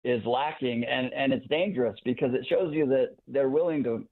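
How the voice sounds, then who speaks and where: A man giving unscripted legal analysis as an interview guest over a thin phone-like line, in a halting, deliberate way. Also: medium pitch. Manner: halting, deliberate, repeats words as he goes. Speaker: guest legal commentator, former prosecutor.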